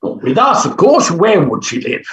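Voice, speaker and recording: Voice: medium pitch, very animated, moderate pace, a bit bright, roomy sound. An actor playing a brash, self-absorbed male phonetics professor in a staged comedy reading, blustering and emphatic. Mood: dismissive. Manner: blustering, emphatic, upper-class turns of phrase.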